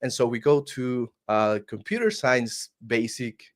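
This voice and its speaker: A man's voice: mid-range voice, very animated, a little slow. A man from Costa Rica with a Costa Rican Spanish accent, telling his story earnestly as a guest on a video call, often restarting his words. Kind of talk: casual interview storytelling.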